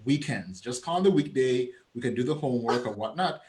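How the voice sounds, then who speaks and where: A man in his thirties from Saint Thomas with a Virgin Islands Caribbean accent, a guest chatting over a video call, relaxed and storytelling. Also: mid-range voice, fairly quick, background noise. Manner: storytelling, relaxed, laughs often. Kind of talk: casual video-call chat.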